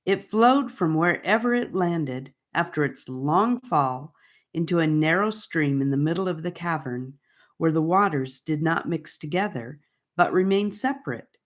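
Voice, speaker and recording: A woman narrating a fantasy audiobook in a measured, deliberate storybook style. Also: deep voice, lively intonation.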